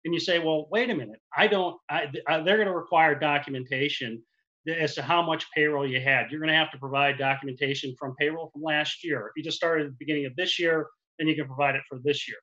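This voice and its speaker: Man in his forties, lender giving a webinar over a headset; forceful bright tone, restarts often, lo-fi call audio. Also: fairly high pitch, steady tone, fast talker. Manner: explanatory, with frequent restarts. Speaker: commercial lender. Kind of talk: webinar presentation. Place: office with printed backdrop. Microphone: headset boom mic on a video call.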